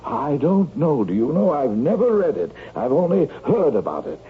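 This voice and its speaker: An actor playing an older, pedantic and insistent book buff, fussy and knowing, in a radio drama. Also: male voice, fairly high pitch, sing-song, normal speed, phone-quality sound. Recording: thin band-limited radio broadcast, background noise.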